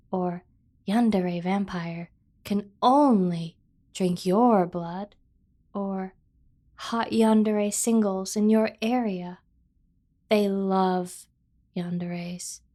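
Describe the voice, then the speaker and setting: A woman playing a doting, obsessive kidnapper sibling in an ASMR roleplay, coaxing and teasing with drawn-out emphasis. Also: mid-range voice, mellow, lightly acted. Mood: smug, eager. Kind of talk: scripted ASMR roleplay acting.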